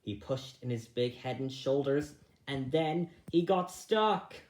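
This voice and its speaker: A man reading a children's story aloud, a little halting, going back over misread phrases. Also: mid-range voice, darkish tone, roomy sound, rough recording. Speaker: storybook reader.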